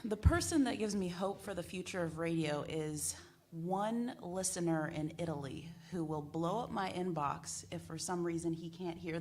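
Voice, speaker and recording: A woman's voice: mid-range voice, fairly bright tone. An American woman radio broadcaster speaking on a panel, earnest and emphatic, repeating phrases for effect. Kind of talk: panel discussion talk, animated storytelling.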